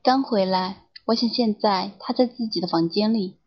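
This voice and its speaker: A woman playing a deferential, timid young housemaid with a halting manner in a radio drama. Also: medium pitch, husky, lo-fi sound.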